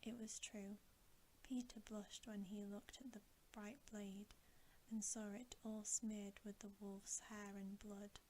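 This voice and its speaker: Female ASMR reader: hushed, breathy whisper, unhurried and mellow, for a bedtime storybook. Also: medium pitch, level tone, slight background noise, poor audio. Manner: soft, slow, measured.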